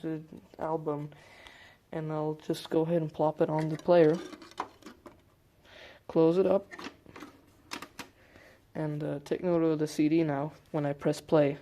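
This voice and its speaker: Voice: mid-range voice, dark tone, slight background noise. A hobbyist presenter giving a casual hands-on demonstration, explaining things informally step by step.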